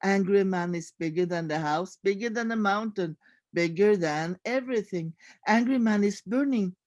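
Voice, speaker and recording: A woman's voice: mid-range voice, normal speed, darkish tone, no room sound, clean studio sound. A woman reading a picture book aloud as its author, in a dramatic, incantatory way with intense repetition. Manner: intense, incantatory, with repeated phrases. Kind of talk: dramatic storybook read-aloud.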